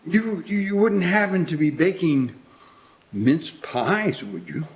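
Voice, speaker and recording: Guy doing old reformed miser in a radio play, bit high pitched, stammery and formal, eager and kindly. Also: animated. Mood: hopeful, sheepish. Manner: halting, stammering, formal old-fashioned speech. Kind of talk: live radio play acting. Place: live community theatre stage.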